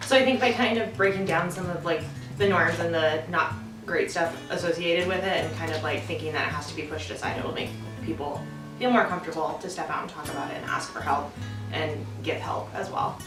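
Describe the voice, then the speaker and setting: A twenty-one-year-old woman with a slightly low voice speaks thoughtfully, letting her sentences fade off softly. She sounds distant in an echoey room, with music and guitar clearly behind her. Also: brisk. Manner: reflective, trailing off softly. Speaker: student speaker. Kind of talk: earnest awareness talk.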